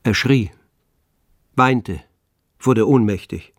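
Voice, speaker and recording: A man narrating a literary radio play in a measured, sober storytelling manner. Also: fairly low pitch, dry recording. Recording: close dry studio, music underneath.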